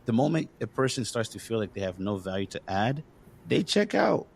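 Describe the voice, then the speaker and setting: A man's voice: mid-range voice, big pitch swings, moderate pace, gravelly. A man from Congo hosting a podcast, talking earnestly and encouragingly in casual conversation, with lots of fillers. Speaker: podcast host.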